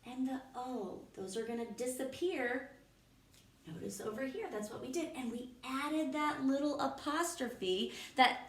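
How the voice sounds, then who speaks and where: Slow, patient woman teaching young children. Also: high-pitched. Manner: patient, slow and encouraging, explaining step by step. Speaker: elementary school teacher. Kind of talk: remote classroom lesson for young children. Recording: echoey room.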